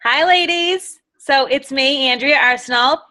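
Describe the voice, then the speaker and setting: A middle-aged woman with a Boston accent speaks with a bright, forceful edge and a slightly raised pitch. Her talk is enthusiastic and rambling. The sound is dry, with little room echo. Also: a little slow. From Massachusetts. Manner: enthusiastic, rambling, quotes others in lively voices. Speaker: guest speaker. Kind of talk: motivational sales talk.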